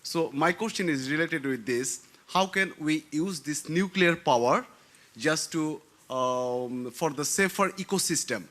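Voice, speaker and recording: A man's voice: fairly high pitch, unhurried, a bit bright. A man from Bangladesh in a conference audience, asking a question hesitantly with many fillers and rephrasings. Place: conference hall.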